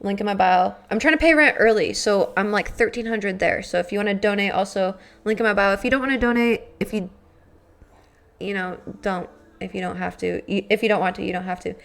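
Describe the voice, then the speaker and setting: A woman's voice: medium pitch, brisk, a bit bright. A young woman, chatting casually and rambling to viewers on a live stream, with lots of fillers and swearing. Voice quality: raspy. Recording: faint background music.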